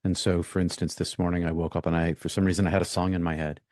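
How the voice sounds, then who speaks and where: A man from the United States, a guest in an interview, speaking reflectively and thinking aloud with many pauses and restarts. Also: low-pitched, level tone, darkish tone, dry recording, clean studio sound.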